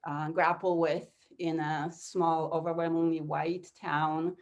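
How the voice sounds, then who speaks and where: Low, flat-voiced Polish-native woman. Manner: halting, repeats words, earnest. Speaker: philosophy professor. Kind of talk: memorial speech. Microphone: video call.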